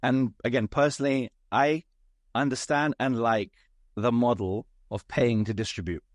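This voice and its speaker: A man hosting an interview, his voice hoarse and raspy, asking structured, articulate questions. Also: medium pitch, steady tone, normal speed, no room sound. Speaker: podcast host. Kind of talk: interview, conversational.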